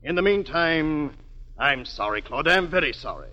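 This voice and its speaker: Man playing a higher-voiced formal maestro, echoing. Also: lively intonation, lightly acted. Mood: formal, regretful. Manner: formal, measured, courteous. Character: polite but firm male opera orchestra maestro. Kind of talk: 1940s radio drama acting. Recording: dull old 1940s radio broadcast, long reverb.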